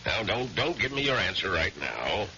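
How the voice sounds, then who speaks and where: An actor playing an older, pressing law firm boss in a seventies radio drama. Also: male voice, lowish voice, very animated, normal speed, loud music behind the voice. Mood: measured, pressing. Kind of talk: 1970s radio drama acting. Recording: dull, noisy old radio recording.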